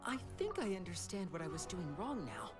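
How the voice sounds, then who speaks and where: A lively, eager trainee archer. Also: female voice, lowish voice, brisk, over music. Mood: excited, determined. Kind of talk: video game dialogue.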